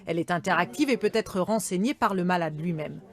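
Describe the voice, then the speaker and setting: A woman's voice: on the low side, lively intonation, fairly quick. A woman reporter reading scripted narration for a television news report.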